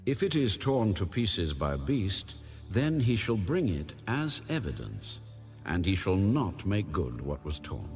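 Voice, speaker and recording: A man reading scripture as a scripted audio narration, formal, solemn and measured in delivery. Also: deep voice, big pitch swings, a little mellow. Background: music under the voice.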